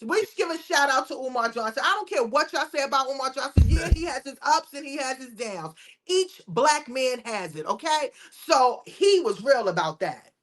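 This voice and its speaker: A woman's voice: high-pitched, lively intonation, normal speed. A woman co-hosting a podcast, talking casually and emphatically, often checking in with "you understand?". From United States. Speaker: podcast co-host. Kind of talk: casual opinionated discussion.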